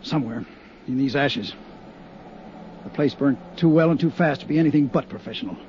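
An actor playing a steady, practical male investigator who talks in a measured, matter-of-fact way, in a muffled 1950s radio drama. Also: mid-range voice, natural voice. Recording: muffled 1950s radio broadcast with faint hiss.

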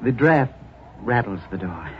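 A man acting an unhinged, wistful sculptor with eerie courtesy in a 1940s radio horror drama, halting and theatrical. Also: medium pitch, lively intonation, close to an everyday voice. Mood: courteous, ominous. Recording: muffled old radio with faint hiss.

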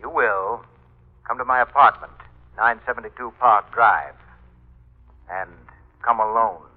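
An actor playing a soft-spoken, calculating killer in a 1940s radio thriller, politely taunting, with menacing gentleness. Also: male voice, mid-range voice, measured pace, close to an everyday voice. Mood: mock-polite. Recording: muffled vintage radio recording.

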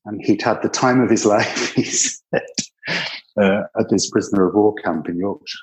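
Low-voiced older British man reminiscing. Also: moderate pace, low-quality recording. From Britain. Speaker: interview guest recalling his years living abroad. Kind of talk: conversational personal reminiscence.

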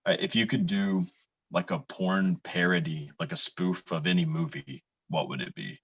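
A man runs a relaxed interview with an easygoing manner. His voice sits very low and sounds husky and breathy. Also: moderate pace, dry recording, dull audio. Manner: easygoing, lots of yeahs and backchannel. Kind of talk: casual interview.

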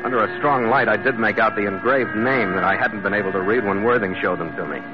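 A man's voice: fairly low pitch, quick pace, music in the background, lightly acted. A man acting in an old-time radio adventure, playing a tough, wry sea captain who narrates in a blunt, clipped way. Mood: wry narration. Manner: hard-boiled, blunt, clipped. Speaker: lead actor and first-person narrator. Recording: noisy, muffled vintage radio recording.

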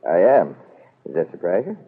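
Proud, sardonic middle-aged father, very low husky voice with lively swings, old radio sound. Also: a little fast, full character voice. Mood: smug, amused. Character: proud, wily middle-aged businessman father, cornered but defiant. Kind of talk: 1940s radio soap opera acting. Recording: muffled old radio broadcast.

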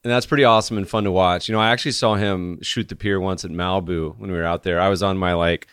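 A man's voice: low voice, steady tone, fast talker, a bit bright, smooth, no room sound, studio quality. A man hosting a podcast, chatting casually and joking with his guest in an upbeat way. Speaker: podcast host. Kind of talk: casual interview chat.

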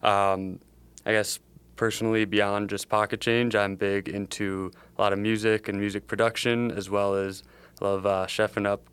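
A young man from the Chicago area speaks in a low, level voice, strikingly clean and resonant, recorded close and dry. He answers like a startup founder in a casual interview, thinking aloud in a meandering way. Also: level tone, normal speed, a bit bright, clear, resonant tone, no room sound. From Chicago area, USA. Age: young adult. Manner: thoughtful, meandering phrasing.

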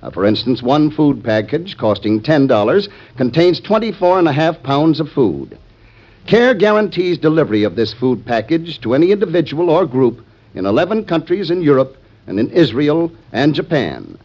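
A man's voice: fairly high pitch, big pitch swings, moderate pace, a little mellow, slightly rough. A man reading scripted announcements in a 1940s radio announcing style. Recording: muffled old radio recording.

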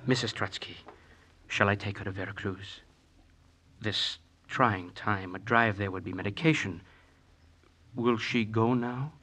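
A man's voice: on the low side, a little slow, pauses often, bright, forceful tone. An actor playing an earnest, ingratiating young man in an old-time radio drama, formal and insistent. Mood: solicitous. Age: young adult.

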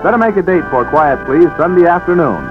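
A man's voice: fairly high pitch, expressive, brisk, music in the background. Formal male announcer on tinny old radio. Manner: formal, polished. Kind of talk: scripted 1940s radio promo announcing.

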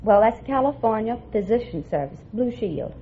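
A woman's voice: fairly high pitch, animated, moderate pace. A woman from Texas as a quiz show contestant, answering politely and telling her story plainly. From Texas, United States. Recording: muffled, faintly noisy vintage radio recording.

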